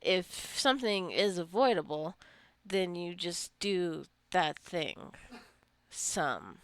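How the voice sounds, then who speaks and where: A woman's voice: lowish voice, expressive, takes it slowly, no echo. A woman giving casual, rambling commentary while playing a game, jokingly scolding her characters and sounding a little tired. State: tired, wants coffee. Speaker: gameplay streamer.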